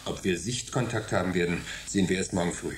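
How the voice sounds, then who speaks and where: A man acting a level-headed companion in a sci-fi radio drama. His voice is very low and his delivery measured and careful, over faint background noise. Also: lightly acted. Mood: calm. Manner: measured, matter-of-fact. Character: calm, cautious forty-year-old man, peacemaking companion. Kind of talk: German science fiction radio play acting.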